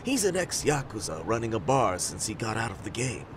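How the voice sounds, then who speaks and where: An actor playing a friendly, easygoing neighborhood eatery worker in a video game dub, chatting freely. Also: male voice, fairly low pitch, big pitch swings, brisk, background noise. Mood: helpful, chatty.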